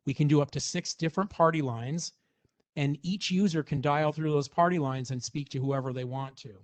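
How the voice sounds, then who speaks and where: A man's voice: on the high side, normal speed. A man presenting a product walkthrough in an informal, explanatory way, as in a semi-scripted technical demonstration.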